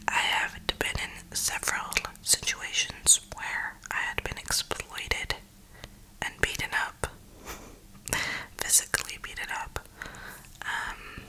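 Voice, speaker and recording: Whispering woman, bright and breathy. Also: rough recording. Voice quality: soft, breathy, unvoiced whisper. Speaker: ASMR creator and gamer. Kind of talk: whispered ASMR ramble, motivational.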